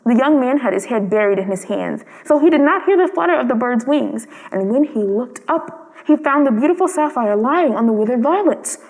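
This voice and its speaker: A woman's voice: fairly high pitch, lively intonation, brisk, hardly any pauses, darkish tone. A woman reading a storybook aloud as a scripted storyteller. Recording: long reverb.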